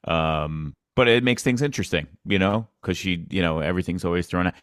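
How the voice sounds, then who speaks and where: A man with a low, deep voice is a guest in a casual interview, recorded dry with no room sound. He rambles a bit and pokes fun at himself. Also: brisk, a bit bright, clean tone, no echo. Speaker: podcast guest, comedian, actor and sports PA announcer.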